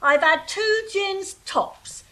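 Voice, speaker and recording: Woman playing a high, coarse, cheeky peasant woman. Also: slow, a bit bright, full character voice. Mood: cheeky, blunt. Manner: blunt, earthy colloquial speech. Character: lowly coarse dung-shovelling castle peasant woman, cheeky and oblivious. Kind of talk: comic radio-play acting.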